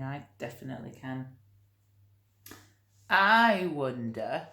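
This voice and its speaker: Expressive woman storyteller, slow and low-pitched. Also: echoey room. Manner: expressive, questioning, addressing children directly. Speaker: teacher reading a storybook to pupils. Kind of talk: animated children's storytelling with friendly asides.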